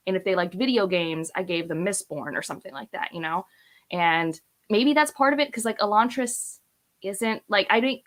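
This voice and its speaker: A woman's voice: medium pitch, a bit bright. A woman in her thirties chatting casually and emphatically about books, rambling and opinionated. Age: 30s. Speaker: book vlogger on a read-along panel. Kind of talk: casual book chat.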